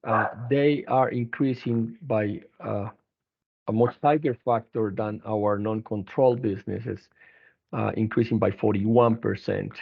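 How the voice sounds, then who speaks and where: A man presenting company financial results as an executive on an earnings conference call, speaking haltingly with frequent restarts. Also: on the low side, fairly even intonation, creaky, breathy edge. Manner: halting, hesitant delivery with repeated restarts. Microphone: telephone conference line. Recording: narrow, tinny phone-line conference call.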